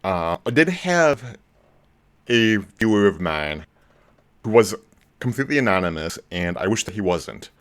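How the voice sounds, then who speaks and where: A man in his forties hosting a casual live stream about movies, talking in a rambling way with lots of ums and restarts, his voice a bit lower than usual. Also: sing-song, measured pace, pauses often, fairly bright tone, clean tone. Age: 40s. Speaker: movie channel host.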